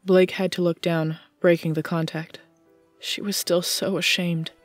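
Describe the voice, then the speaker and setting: A woman's voice: fairly low pitch, little pitch movement, measured pace, no echo, faint music behind. A woman reading scripted fiction as the narrator of an audiobook.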